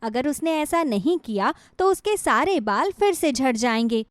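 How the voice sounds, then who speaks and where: A woman's voice: sits high, expressive, a little slow, dry recording, studio quality. Woman playing an earnest, devoted middle-aged mother. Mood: explaining. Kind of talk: Hindi moral story cartoon acting.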